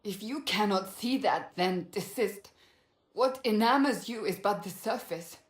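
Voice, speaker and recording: A stern, guarded warrior acted by a woman in a fantasy game drama. She speaks formally and intensely, in a mid-pitched voice with a roomy echo. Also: measured pace, natural voice. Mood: stern, pained.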